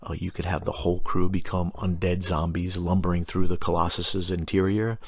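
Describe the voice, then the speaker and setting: A man's voice: low-pitched, flat delivery, moderate pace, vocal fry, breathy edge, no echo, studio quality. A middle-aged man hosting a tabletop gaming explainer, reading prepared lore in a wry, informal way with casual asides. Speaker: host of a tabletop gaming channel.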